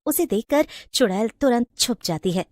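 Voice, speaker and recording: A woman's voice: on the high side, animated, moderate pace, dry recording. Female storyteller for scripted moral tales, close and dry recording. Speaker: story narrator.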